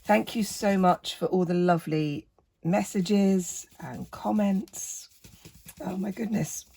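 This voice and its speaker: A woman with a croaky voice, chatting casually through a painting tutorial and gently encouraging the viewer. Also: medium pitch, slow. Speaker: art tutor.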